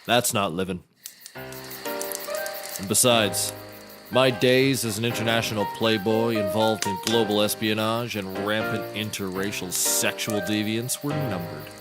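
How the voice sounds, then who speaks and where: An actor playing a boastful, washed-up international secret agent in a scripted comedy, talking in a bombastic, grandiose way. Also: male voice, fairly low pitch, measured pace, music in the background, close to an everyday voice.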